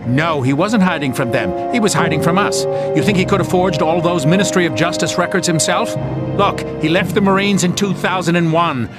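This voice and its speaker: An actor playing an urgent, commanding boss of a deadly game organization in a video game cutscene, speaking intensely over loud music. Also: male voice, high voice, fairly quick, close to an everyday voice. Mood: urgent, explaining.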